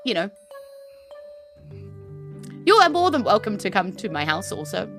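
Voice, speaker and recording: A woman's voice: mid-range voice, very animated, measured pace, fairly bright tone, faint music behind, pitched above the performer's own voice. A woman voicing a nervous, stiff townsman in a tabletop game, stammering and formally deferential. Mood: wary, polite.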